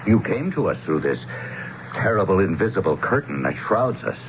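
An actor in a radio drama playing a grave, formal man trapped in a strange place, speaking in a measured way. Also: sits low, sing-song. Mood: grave, expectant. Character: grave man trapped behind an invisible curtain. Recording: muffled, noisy old radio broadcast.

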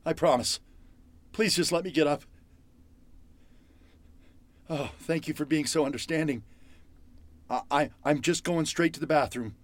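Man acting a panicked high-pitched captive. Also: dry recording, lightly acted. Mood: pleading. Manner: halting, melodramatic, distraught. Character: panicked, foul-mouthed captive man pleading and scheming to escape. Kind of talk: old-time radio horror acting.

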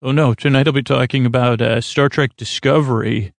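A man hosting a bedtime podcast in a creaky voice, meandering and halting through tangents and self-corrections in a lulling, reassuring way. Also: mid-range voice, steady tone, no echo. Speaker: podcast host. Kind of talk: rambling bedtime sleep podcast monologue.

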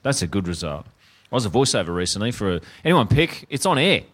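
A man with an Australian accent co-hosting a live comedy podcast in front of an audience, blunt and sweary with deadpan asides. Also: fairly low pitch, quick pace. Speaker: podcast co-host.

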